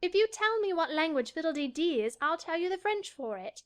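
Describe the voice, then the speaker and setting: A woman's voice: very high-pitched, very animated, brisk. A woman playing a polite, sensible, puzzled little girl, earnest and faintly exasperated, in an audiobook drama. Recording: music behind the voice.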